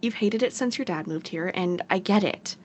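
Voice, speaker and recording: An actress playing a teasing, caring young sister in a naturalistic audio drama. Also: female voice, medium pitch, moderate pace, lightly acted. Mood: gentle, earnest. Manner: playful, teasing, sarcastic.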